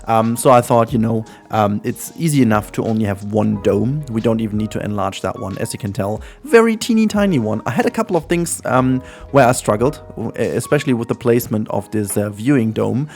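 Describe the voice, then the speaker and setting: A man's voice: fairly low pitch, lively intonation, a little fast, creaky, hardly any pauses, a little mellow. German-accented man rambling over gameplay. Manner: chatty, rambling, lots of ums and uhs. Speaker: gaming channel host. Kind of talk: casual gameplay commentary. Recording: faint music behind.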